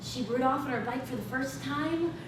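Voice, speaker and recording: A woman's voice: high-pitched, normal speed, natural voice. A woman playing a taunting, sarcastic estranged wife in a staged reading of a play. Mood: needling, chatty. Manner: needling, sarcastic. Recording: echoey, noisy stage recorded from far off.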